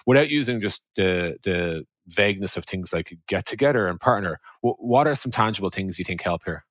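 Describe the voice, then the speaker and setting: A man's voice: deep voice, animated, brisk, fairly bright tone, no echo. A man hosting a podcast in a conversational style, talking through scenarios and questions. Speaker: podcast host.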